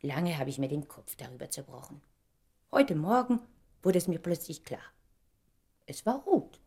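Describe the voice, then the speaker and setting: A woman's voice: low-pitched, very animated, normal speed, mellow, husky, full character voice. A woman playing a vain, wounded young stage star in a German radio play, speaking in a dramatic, self-important and indignant way. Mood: dramatic, brooding.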